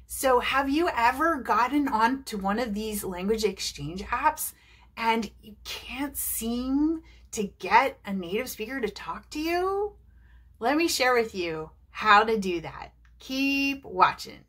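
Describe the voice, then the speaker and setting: A woman's voice: on the high side, animated, slow, fairly bright tone, slightly rough. An American woman from New Jersey, an English teacher who talks viewers through tips in a chatty, encouraging way. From New Jersey, United States. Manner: chatty, encouraging, asks rhetorical questions. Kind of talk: video lesson to camera.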